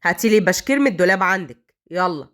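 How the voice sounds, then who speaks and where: A woman voicing a cold, harsh police officer of thirty who speaks in a low, commanding tone. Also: animated, rapid-fire, natural voice. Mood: curt.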